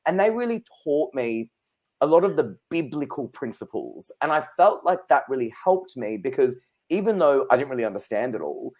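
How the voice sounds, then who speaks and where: A man's voice: mid-range voice, sing-song, moderate pace, darkish tone. Chatty man in his thirties. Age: 30s. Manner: chatty, anecdotal, laughs easily. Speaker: psychic guest. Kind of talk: casual interview chat.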